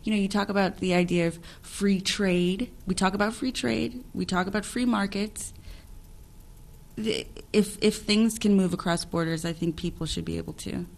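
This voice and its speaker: A woman actress talking about her film in a relaxed interview, thoughtful and quick to laugh, often restarting her sentences. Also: medium pitch, gravelly, slight background noise. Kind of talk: casual interview chat.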